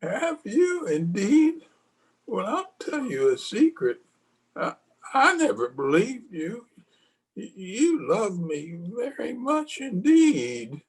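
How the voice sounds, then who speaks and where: An actor playing a feeble, forgetful old husband in a radio play, halting and repeating his words, tender and querulous. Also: sits high, huge pitch swings, heavily acted, rough recording. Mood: tender, happy. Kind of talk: old-time radio drama acting. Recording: home video call with music.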